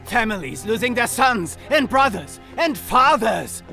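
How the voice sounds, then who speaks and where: A zealous prince in a game cutscene, arguing fervently. The voice is high and sharp, tense and insistent, with faint music behind it. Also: male voice, very animated, measured pace, gravelly, full character voice. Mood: anguished, angry. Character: hot-headed, zealous German prince and officer.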